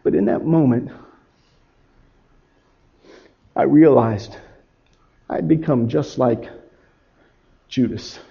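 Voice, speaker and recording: A man performing a guilt-ridden disciple confessing his betrayal in a halting, emotional dramatic biblical monologue. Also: fairly high pitch, lively intonation, highs cut off. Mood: grieving, ashamed. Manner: halting, emotional, swinging from hushed to shouting.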